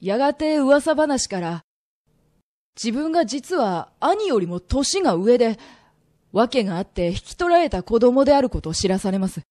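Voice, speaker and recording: A suave young merchant man of old Japan, acted by a woman in a radio drama. The voice is high and closely recorded without echo, with polite, deliberate phrasing. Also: big pitch swings, mellow, clean studio sound. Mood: composed, earnest.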